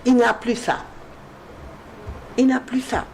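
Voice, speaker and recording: A woman with a Senegalese accent giving political commentary in an animated discussion, emphatic and repeating points for stress. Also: on the high side, takes it slowly, slight background noise. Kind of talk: animated political discussion mixing two languages.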